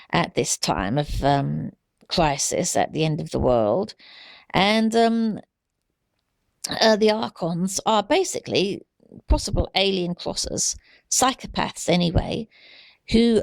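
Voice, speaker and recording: A woman giving an unscripted, earnest monologue of exhortation, halting and repeating herself as she goes. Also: mid-range voice, creaky, dry recording.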